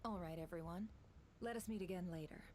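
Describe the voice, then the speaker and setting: Give me a woman playing a calm, wry ally. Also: lowish voice, fairly quick, a little hiss or hum, lightly acted. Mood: composed.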